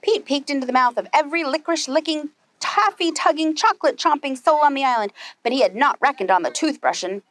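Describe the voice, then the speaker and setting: A woman reading a children's story aloud as a preschool storyteller, animated and putting questions to her young listeners. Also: sits high, fast talker.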